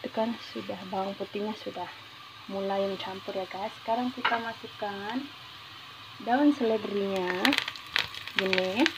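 A woman's voice: mid-range voice, fairly even intonation, unhurried, pauses often. Woman chatting over kitchen noise. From Indonesia. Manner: chatty, repetitive, peppered with English words. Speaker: home cooking vlogger. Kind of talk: casual unscripted cooking tutorial. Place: home kitchen, indoors. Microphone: handheld phone behind the camera. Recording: noisy kitchen with frying sizzle. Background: frying sizzle, stir noises.

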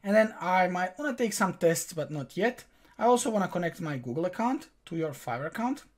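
A man's voice: sits high, lively intonation, fairly quick. A young man whose first language is Croatian hosts a screen-recorded tutorial. He talks viewers through every click in a direct, instructive way, recorded at a desk in a small room. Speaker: online tutorial host.

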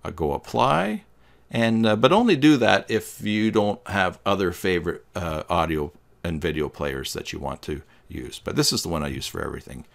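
A middle-aged man giving a step-by-step software tutorial in a plain, instructional manner. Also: low voice, lively intonation, moderate pace, gravelly. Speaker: tutorial host. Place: small indoor room with green screen.

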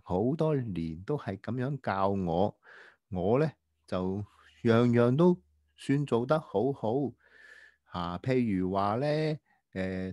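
A man voicing a prim, well-behaved young boy who gently lectures a friend in a children's radio drama, halting and patient. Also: medium pitch, no room sound, studio quality.

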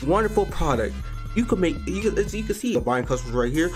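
A man's voice: on the high side, sing-song, fast talker, soft background music. An American man chatting casually in a slangy, informal way as he hosts a prank video. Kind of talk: casual vlog chat.

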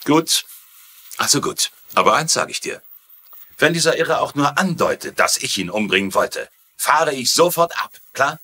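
A man's voice: mid-range voice, sing-song, moderate pace, bright, forceful tone. An actor playing a hot-headed, defensive father in a dubbed TV mystery, speaking bluntly and irritably. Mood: angry, defiant. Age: middle-aged. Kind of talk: dubbed TV mystery drama acting.

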